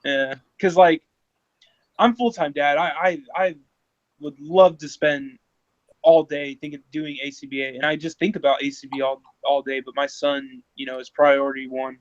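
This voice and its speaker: A man in his thirties chatting casually, often stammering and restarting, growing animated when excited. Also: on the high side, normal speed. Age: 30s. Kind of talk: casual chat. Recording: dull lo-fi sound.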